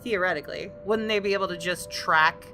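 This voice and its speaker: Lower-voiced woman gamer reading aloud. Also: a little fast, music in the background. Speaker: gaming video host. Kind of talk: reading visual novel narration aloud with casual asides.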